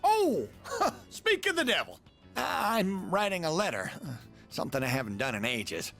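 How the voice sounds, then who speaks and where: A man's voice: on the high side, huge pitch swings, measured pace, fairly bright tone, faint music behind, heavily acted. An actor playing a coy, teasing, eccentric man in a video game, with a theatrical delivery. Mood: playful, teasing. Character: playful, teasing, eccentric letter-writing man.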